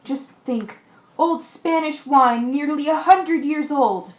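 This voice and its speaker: Radio play: commanding, practical castaway sailor, played by a woman, earnest amateur read, high register, roomy sound. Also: slow. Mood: brisk, marvelling. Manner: earnest, stumbles over scripted lines. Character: level-headed shipwrecked sailor, commanding and practical. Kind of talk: amateur radio drama acting. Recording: echoey room recording.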